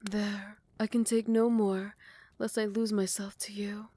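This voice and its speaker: A woman playing a possessive yet tender royal vampire in love, speaking in formal, old-fashioned phrasing with breathy half-whispers in an intimate ASMR roleplay. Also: mid-range voice, flat delivery, measured pace, mellow, lightly acted. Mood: dazed, adoring.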